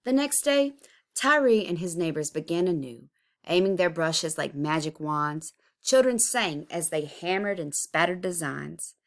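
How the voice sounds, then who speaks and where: Slow, lower-voiced woman reading a storybook aloud. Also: expressive. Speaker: storybook reader. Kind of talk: expressive children's read-aloud of a picture book.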